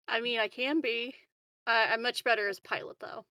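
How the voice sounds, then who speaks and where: A woman's voice: fairly high pitch, pushed and bright, no echo. A woman playing a tabletop roleplaying game, chatting casually in character in a giggly, hesitant, rambling way. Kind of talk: casual in-character improvised chat.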